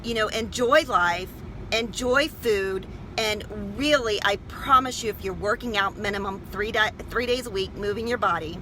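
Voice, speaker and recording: A woman's voice: medium pitch, normal speed, pushed and bright, a little hiss or hum, rough recording. A woman health coach talking informally and emphatically to her livestream viewers, often checking in with short questions.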